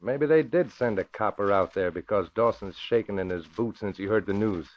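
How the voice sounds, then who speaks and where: A man's voice: on the low side, normal speed, close to an everyday voice. An actor playing a cocky, vengeful escaped convict with slangy wise-guy talk, in 1940s radio style. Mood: cocky, scheming. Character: tough, scheming male escaped convict gangster, vengeful and cocky. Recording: muffled old radio recording.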